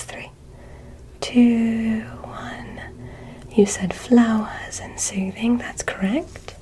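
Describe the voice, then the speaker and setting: Girl doing gentle curious alien examiner for asmr, all whispery n really slow, soothing. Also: medium pitch. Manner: slow, soothing, instructive, encouraging. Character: gentle, curious female alien examiner visiting from another planet.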